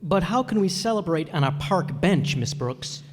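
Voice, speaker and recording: A man playing an earnest, polite, mild-mannered gentleman in old-time radio comedy. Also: high-pitched, lively intonation, normal speed. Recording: echoey hall stage recording.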